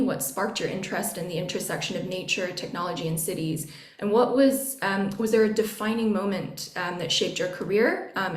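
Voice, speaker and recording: Woman host, enthusiastic interviewer on a video call, roomy echo. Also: medium pitch. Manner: enthusiastic, many fillers. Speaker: event host. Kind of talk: webinar interview question.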